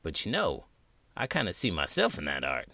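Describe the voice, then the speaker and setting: A man's voice: deep voice, wildly swooping pitch, normal speed, gravelly, pushed and bright, no room sound, voice pushed down, full character voice. Man voicing a theatrical hungry creature sidekick. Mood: dreamy self-admiration.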